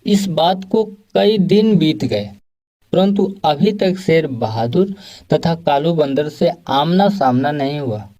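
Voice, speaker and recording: A man's voice: fairly high pitch, expressive, slow pace, mellow. A man reading scripted narration for a children's moral story.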